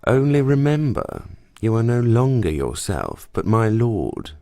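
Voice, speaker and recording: A woman voicing a clever, smooth-talking male cat who speaks in a slow, courtly way. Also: very deep voice, big pitch swings, warm tone, dry recording, voice pushed down, heavily acted. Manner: courtly, persuasive, slow and deliberate.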